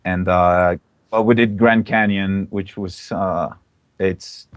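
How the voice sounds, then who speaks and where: A man's voice: deep voice, fairly even intonation, slow, clear, resonant tone, no room sound, studio quality. A young man from Belgium, a guest in a casual interview, talking with enthusiasm and plenty of "uh"s and "like"s. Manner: enthusiastic, chatty, many fillers. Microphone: online call mic.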